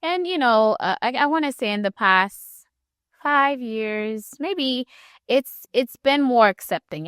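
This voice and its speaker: A woman from Haiti hosting a podcast interview, animated and conversational. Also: medium pitch, smooth, no room sound, studio quality. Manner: animated, acts out quoted voices, warm and conversational. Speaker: podcast host.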